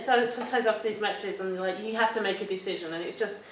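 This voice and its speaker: A woman chatting casually as a jiu-jitsu referee, in a quick, wry manner. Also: mid-range voice, a little hiss or hum. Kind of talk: casual chat. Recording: roomy, distant mic, faint background.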